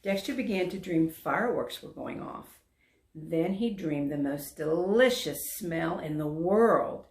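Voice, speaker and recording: A woman reading a children's story aloud as a storyteller, deliberate and expressive. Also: fairly low pitch. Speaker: volunteer storyteller. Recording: echoey room.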